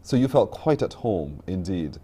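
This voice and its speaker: A man hosts a morning television interview in an easy, chatty way. His voice swings up and down with lively intonation, and his long questions wander as he laughs along. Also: low-pitched, moderate pace, a little hiss or hum. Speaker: morning TV show host. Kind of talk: broadcast interview.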